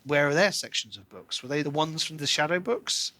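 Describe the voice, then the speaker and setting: A man co-hosting a podcast, chatting casually and enthusiastically about a book in an expressive way. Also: on the high side, fairly quick, dry recording. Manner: enthusiastic, expressive, acts out quoted lines. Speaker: podcast co-host.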